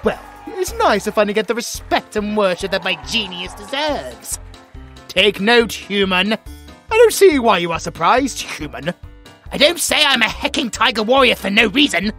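A man's voice: high voice, big pitch swings, normal speed, vocal fry, sharp tone, soft background music, exaggerated voice. A British man voicing a bratty, self-important tiger warrior in a comedic audio roleplay, haughty, demanding and full of theatrical exclamations. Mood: haughty proud.

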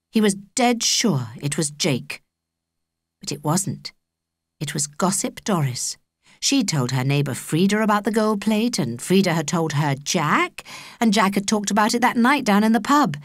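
A woman with a British accent narrating a children's audiobook in an expressive, measured storytelling manner. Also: lowish voice, no room sound, clean studio sound. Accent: British English.

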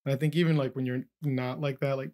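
A man from Peru hosting a podcast interview, reflecting casually with halting restarts. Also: mid-range voice, fairly even intonation, dark tone. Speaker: podcast host. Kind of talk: conversational interview.

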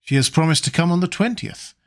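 A man voicing a weary, sighing young gentleman. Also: on the high side, animated, fairly quick, pitched above the performer's own voice, close to an everyday voice. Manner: sighing, listless.

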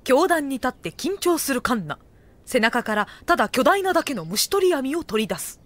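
A woman's voice: sits high, very animated, normal speed, fairly bright tone, heavily acted. A woman narrating a comic anime audio drama as a wry, scripted storyteller.

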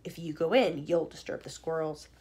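A woman voicing an eccentric male candy maker who explains things in an animated, insistent way. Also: deep voice, normal speed, husky, pitched below the performer's own voice, exaggerated voice.

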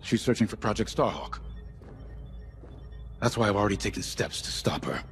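An actor playing a middle-aged rebel commander in a video game, authoritative, measured and secretive. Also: male voice, fairly low pitch, lively intonation, faint music behind. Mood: determined. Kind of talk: video game briefing dialogue.

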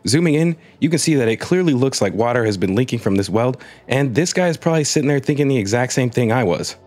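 A man's voice: mid-range voice, expressive, fast, darkish tone. A man narrating a scripted space news video, telling the story with wry comic asides.

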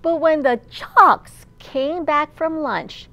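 High-voiced woman reading slowly to small children. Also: highs cut off. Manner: animated, slow and clearly enunciated. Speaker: children's librarian and storytime host. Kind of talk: picture-book storytelling for small children. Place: large indoor library room.

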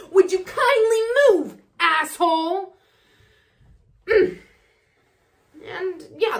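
A woman's voice: very high voice, slight background noise, heavily acted. An actress performing a neurotic, self-absorbed woman in a comic monologue, rambling and sighing dramatically.

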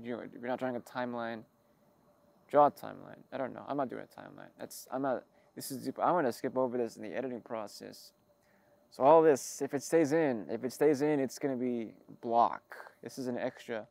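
A man with a creaky, gravelly edge speaks at a middling pitch in a rambling personal log. He is halting and wry, circling back on his own phrases and correcting himself as he goes. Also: a little mellow. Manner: halting, self-interrupting, wry and figurative. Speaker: creator of an audio log channel.

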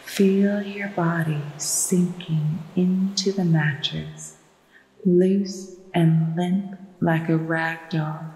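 A woman narrating a guided sleep meditation in a soothing, hushed and deliberate manner. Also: fairly low pitch, steady tone. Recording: echoey long reverb with music behind. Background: relaxation music with ocean waves.

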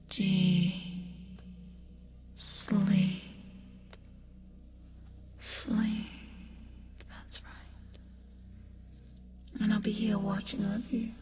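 A woman playing an eerie yet soothing sleep paralysis demon, whispering breathily and coaxingly in an ASMR hypnosis roleplay. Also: medium pitch. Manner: breathy whispering, slow, coaxing, drawn-out words.

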